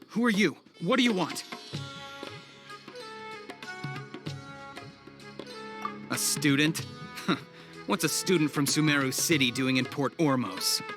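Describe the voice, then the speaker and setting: Guy doing a sneering shady game mercenary, middle pitched, menacing, music playing under it. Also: natural voice. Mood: suspicious, sneering. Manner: sneering, boastful. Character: scornful, menacing mercenary zealot and shady dealer. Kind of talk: video game cutscene acting.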